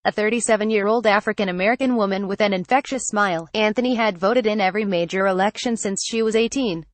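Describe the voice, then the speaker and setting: A woman's voice: mid-range voice, fast, no echo. Woman narrating a news feature, dry. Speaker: narrator reading a magazine article.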